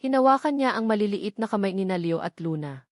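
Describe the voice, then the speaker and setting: Story narrator: woman, Filipino accent, dramatic scripted read, medium pitch, no room sound. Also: expressive, fairly quick, no echo, studio quality.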